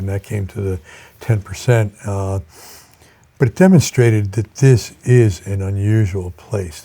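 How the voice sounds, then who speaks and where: An older man speaking as a college president in an interview, reflective and measured, with halting pauses. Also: fairly low pitch, dark tone.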